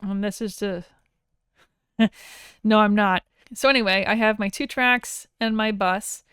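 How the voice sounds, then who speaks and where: A woman teaching audio software in a casual, conversational screen-share tutorial, informal and enthusiastic about her tools. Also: medium pitch, steady tone, a little slow, smooth, no room sound, clean studio sound.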